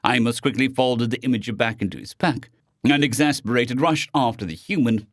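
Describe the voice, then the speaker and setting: A man's voice: medium pitch, fairly quick, a bit bright, dry recording, studio quality. A man reading a scripted science fiction story as its narrator.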